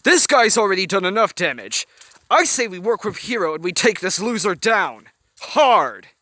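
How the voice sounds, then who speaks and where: A man's voice: sits high, very animated, moderate pace, pushed and bright, no room sound, voice pushed up, exaggerated voice. A man doing a bellowing hot-tempered emotion. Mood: enraged. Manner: bellowing, brash.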